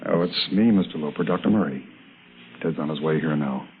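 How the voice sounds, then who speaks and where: An actor playing a calm, caring American country doctor, reassuring in an old radio drama. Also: male voice, very deep voice, fairly quick, noisy background. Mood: soothing. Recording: narrow vintage radio, music behind.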